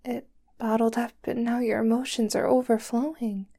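A woman voicing a doting, possessive young girlfriend who holds her lover captive, talking softly and sweetly in a whispery voice. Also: on the high side, little pitch movement, moderate pace. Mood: soothing. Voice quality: soft and whispery. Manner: sweet, clingy, coaxing. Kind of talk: roleplay audio drama to the listener.

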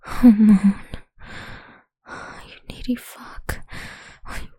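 Woman playing a slow, breathy, domineering lover. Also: mid-range voice, steady tone, warm tone, no room sound. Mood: commanding, scornful. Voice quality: breathy, whispery. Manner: slow, commanding, alternately cooing and scornful. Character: domineering, possessive, condescending adult female lover. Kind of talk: intimate whispered adult audio roleplay.

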